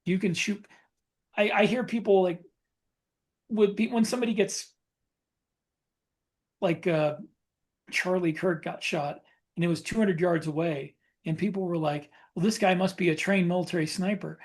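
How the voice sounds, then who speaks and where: Male podcast co-host, high-pitched voice, casual and opinionated explainer. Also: fairly even intonation, normal speed, long pauses, a little mellow. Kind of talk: casual chat about guns and military life.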